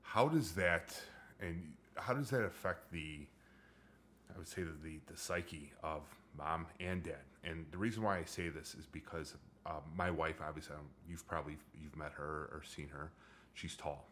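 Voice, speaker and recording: A middle-aged man with a low voice hosting a casual chat, joking and rambling with many restarts. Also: normal speed, fairly bright tone, slight background noise. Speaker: podcast host.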